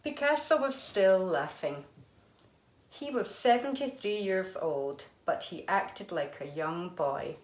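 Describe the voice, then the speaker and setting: A woman's voice: on the low side, animated, slow. A woman, an art teacher, reading a children's storybook aloud expressively. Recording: echoey room.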